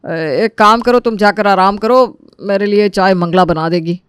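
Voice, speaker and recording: A man playing a kindly, concerned middle-aged father-in-law in an animated moral story, speaking in a soothing way. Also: high voice, normal speed, smooth, no echo, studio quality. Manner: caring, soothing.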